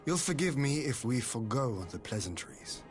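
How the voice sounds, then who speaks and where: A man's voice: medium pitch, sing-song, moderate pace, natural voice. Man acting a stern, dignified noble lord. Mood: cool, skeptical. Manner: formal, blunt, guarded. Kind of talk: scripted video game voice acting. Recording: music behind the voice.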